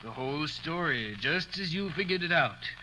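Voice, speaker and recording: Sharp, menacing radio villain. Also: male voice, fairly high pitch, animated, moderate pace, rough recording. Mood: smug, calculating. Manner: cold, smooth-talking, threatening. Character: menacing, calculating male murderer taking a hostage at knifepoint. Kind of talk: 1940s radio crime drama acting. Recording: old radio recording, faint noise.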